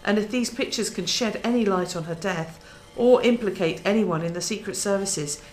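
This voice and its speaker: A woman playing a sympathetic, steadfast news boss in a radio drama, speaking gently and earnestly. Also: mid-range voice, moderate pace, natural voice, rough recording.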